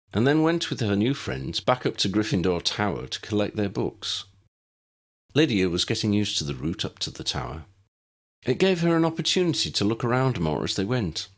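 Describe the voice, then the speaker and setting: A man's voice: on the low side, fairly bright tone. A man narrating an audiobook story in a scripted storytelling style.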